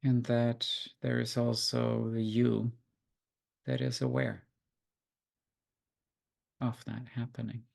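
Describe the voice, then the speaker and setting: A man guiding a meditation, giving gentle, unhurried instructions with long pauses. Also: mid-range voice, completely flat delivery, creaky. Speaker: meditation guide. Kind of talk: guided meditation.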